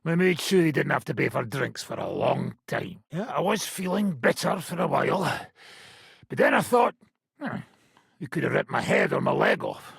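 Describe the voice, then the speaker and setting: An actor playing a gruff, foul-mouthed old robber baron in a video game, with a rough, raspy voice and a blunt, sardonic manner. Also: male voice, fairly high pitch, huge pitch swings, normal speed, no room sound, clean studio sound, exaggerated voice. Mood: wry, grumbling. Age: older. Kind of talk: video game character dialogue.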